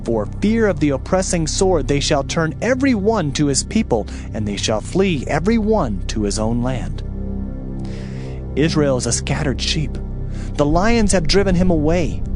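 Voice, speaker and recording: Guy doing dramatic bible narration, solemn and declamatory, voice goes up and down a lot, music playing behind. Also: a little high. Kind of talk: dramatized scripture reading. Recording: background music under the voice.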